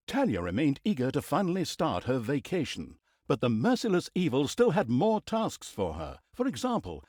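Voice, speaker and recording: A theatrical male storyteller narrates a comic fantasy game with arch, droll relish. His fairly high voice swoops dramatically up and down. The delivery is wry and storybook-like, in a dry, close studio sound. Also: a little mellow, husky, no echo, exaggerated voice.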